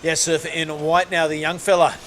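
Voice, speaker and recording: A man's voice: on the high side, level tone, a little fast, a bit bright, a little hiss or hum. A man hosting live surf commentary, calling rides in a laid-back, matey way.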